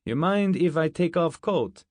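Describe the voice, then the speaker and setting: A man's voice: on the high side, animated, unhurried, darkish tone, dry recording, clean studio sound, voice pushed up. A man voicing a fat, jovial, crude Russian guard in a story, with a thick Russian accent and blunt, broken English. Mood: matter-of-fact.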